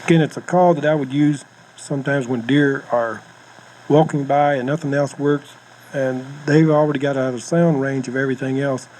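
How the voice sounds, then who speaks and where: A man's voice: medium pitch, steady tone, moderate pace, a little mellow. An American man giving informal hunting instruction on a cassette tape, with plainspoken, folksy phrasing. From United States. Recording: noisy home-style cassette tape recording.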